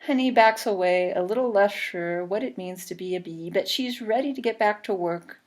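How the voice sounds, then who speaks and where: A woman reading a children's storybook aloud, expressive and deliberate, pausing between phrases and adding short asides. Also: medium pitch. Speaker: storybook reader. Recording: clearly noisy recording with clicks.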